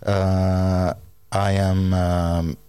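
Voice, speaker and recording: A man from Finland speaking as a panel guest, thoughtful and slow with many pauses. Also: sits low, completely flat delivery, mellow, clear, resonant tone, no room sound. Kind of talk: conversational panel talk.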